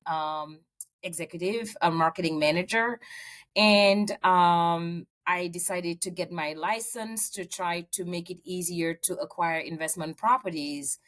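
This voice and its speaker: A woman from Senegal with a fairly low voice, talking through her career story as an interview guest. She speaks earnestly, with frequent um and uh fillers. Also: a bit bright. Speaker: real estate agent, guest. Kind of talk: interview answers.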